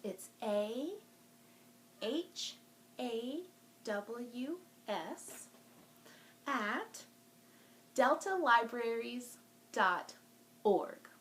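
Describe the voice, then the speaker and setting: Female children's storytime host, higher-pitched and unhurried, sing-song delivery, homemade recording with some noise. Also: animated, a bit bright. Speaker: children's librarian hosting a virtual storytime. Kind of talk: talking to young children in a craft and show-and-tell video.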